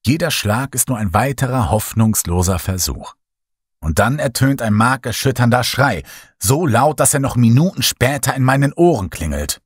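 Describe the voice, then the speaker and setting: Breathy man reading horror. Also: medium pitch, moderate pace, no room sound, studio quality. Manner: dramatic first-person storytelling, lively voiced dialogue. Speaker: narrator.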